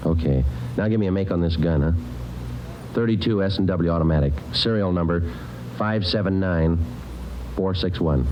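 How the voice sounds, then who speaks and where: An actor playing a terse, businesslike police detective in a 1950s radio crime drama, clipped and deadpan as he questions and narrates. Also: sits low, moderate pace, slight background noise, close to an everyday voice. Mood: businesslike, flat. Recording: muffled old radio recording with music.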